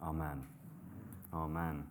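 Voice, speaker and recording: A man's voice: extremely low pitch, little pitch movement, slow pace, very noisy. A young adult man giving a sermon in a conversational, self-deprecating way, with frequent ums and restarts. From grew up in Nepal and the UK. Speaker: preacher.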